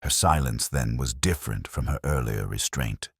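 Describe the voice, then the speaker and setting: A man narrating a scripted first-person detective story in a measured, brooding, literary manner. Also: extremely low pitch, gravelly, breathy edge, no echo, clean studio sound.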